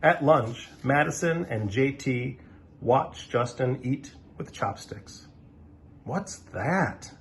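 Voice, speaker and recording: A man reading a children's story aloud in an animated, expressive way, with dramatic pauses. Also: mid-range voice, slight background noise.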